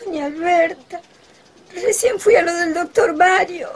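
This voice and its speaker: An actress playing an emotional, humble young country wife in a radio soap opera. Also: female voice, extremely high pitch, a little slow. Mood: sad, humble. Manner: simple, deferential, emotional. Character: tearful, humble young country wife, former ranch worker.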